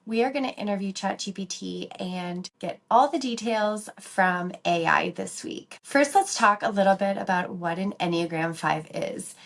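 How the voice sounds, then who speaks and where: A woman hosting her own podcast, her voice a bit raspy and lower than usual from a cold, talking in a chatty, rambling way. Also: moderate pace, hardly any pauses. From South Dakota. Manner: chatty, rambling, friendly explainer. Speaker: podcast host, dental hygienist. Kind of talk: casual solo podcast talk. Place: workplace, on a lunch break.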